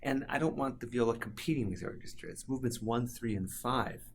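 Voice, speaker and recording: A man's voice: mid-range voice, fairly quick, slight background noise. A man giving a reflective, explanatory interview as a composer talking about his music.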